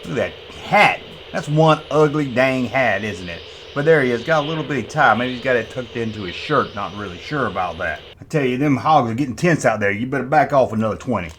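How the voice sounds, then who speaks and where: Folksy, lively male toy reviewer. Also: medium pitch, fairly quick. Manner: folksy, sighing, repeats himself. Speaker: toy review video host. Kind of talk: casual chat with playful CB slang. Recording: faint background noise.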